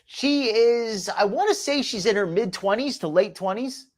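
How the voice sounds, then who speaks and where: Male host, slight New Jersey accent, sarcastic gossip, very lively pitch. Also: normal speed. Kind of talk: mocking reaction commentary.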